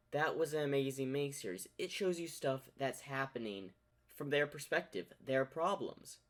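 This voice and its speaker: Casual man reacting to a fan dub. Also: fairly high pitch. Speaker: reaction video host.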